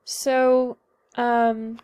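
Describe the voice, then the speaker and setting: A woman's voice: fairly high pitch, little pitch movement, extremely slow, darkish tone, smooth. A young woman with a Northern Irish accent, a little sick, giving a class paper presentation with frequent hesitations and ums. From Northern Ireland. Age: young adult. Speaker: student presenter.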